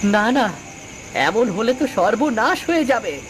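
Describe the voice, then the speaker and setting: A woman's voice: on the high side, lively intonation, a little slow, a little hiss or hum. A woman voicing a brave little boy in a cartoon, speaking in an earnest, imploring way. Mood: frightened, pleading. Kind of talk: cartoon voice acting.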